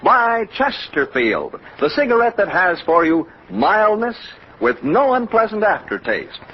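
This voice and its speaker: A man's voice: high voice, sing-song, measured pace, husky, exaggerated voice. A man announcing a scripted 1940s radio show in a showy, formal promotional style. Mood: brisk, promotional. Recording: muffled old radio recording.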